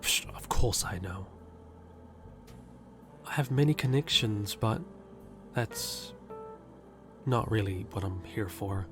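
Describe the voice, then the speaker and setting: A man's voice: lowish voice, slow, long pauses. A man playing a confident, self-centered handyman in an audio roleplay, teasing and cocky. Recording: music bed underneath.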